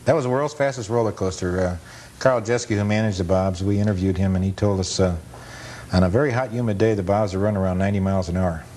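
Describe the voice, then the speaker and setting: A man's voice: steady tone, quick pace, mellow. A man giving casual, matter-of-fact commentary over old footage, full of detail and anecdote. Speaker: guest film collector and amusement park historian. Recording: noisy rumbly 1980s cable TV audio.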